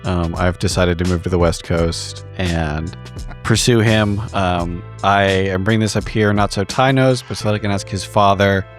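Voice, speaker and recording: Man, deep voice, deadpan, casual game-table talk, light music behind. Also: normal speed, few pauses. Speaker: player on a tabletop game podcast.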